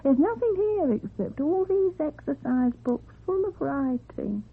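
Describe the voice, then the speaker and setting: A woman's voice: sits high, measured pace, full character voice. An actress playing a fussing, talkative, matter-of-fact landlady in a radio drama. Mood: flustered. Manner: talkative, animated. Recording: tinny narrow-band old radio recording.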